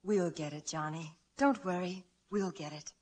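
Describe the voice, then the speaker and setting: A woman's voice: fairly low pitch, moderate pace, over loud music, natural voice. An actress playing a spirited, coaxing young lady, earnest and slightly arch, in 1940s radio drama style. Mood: encouraging.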